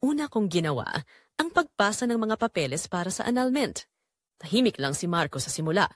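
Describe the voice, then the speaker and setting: Woman acting a wounded Filipino daughter, expressive and solemn. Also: mid-range voice, brisk, no room sound, clean studio sound. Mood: hurt, somber. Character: Clarice, betrayed daughter and wife, wounded, resolute. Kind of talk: first-person dramatic scripted narration.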